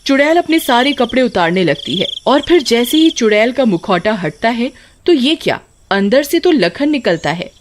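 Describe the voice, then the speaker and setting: A woman storyteller reading scripted narration for an animated tale. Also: on the high side, moderate pace, noisy background.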